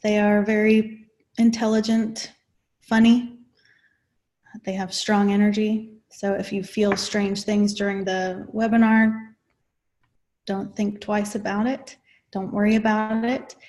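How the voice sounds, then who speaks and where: Slow, soft-spoken woman in her forties. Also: mid-range voice, little pitch movement. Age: 40s. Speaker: spiritual channeler hosting a webinar. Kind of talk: introduction to a webinar.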